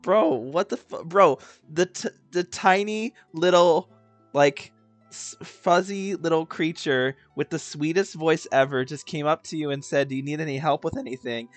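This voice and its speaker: A man's voice: fairly high pitch, normal speed, no echo. A man doing casual gameplay reaction commentary as a streamer, blunt, slangy and sweary. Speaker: gaming streamer. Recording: faint game music behind the voice.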